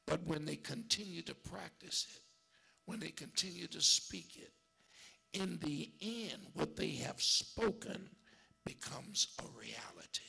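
A man's voice: fairly high pitch, a little slow, vocal fry, slightly rough, poor audio. A man preaching a sermon in a church cadence, building with repeated lines and sometimes sinking to a whisper. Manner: preaching with rising shouts and dropping to whispers; call-and-response church cadence, repetition.

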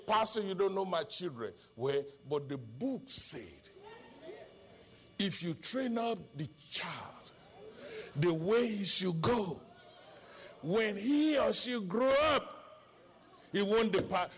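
A man's voice: high-pitched, a bit bright. A middle-aged man with a Nigerian accent, a native Yoruba speaker, preaching an impassioned sermon as a pastor with emphatic repetition and rhetorical questions.

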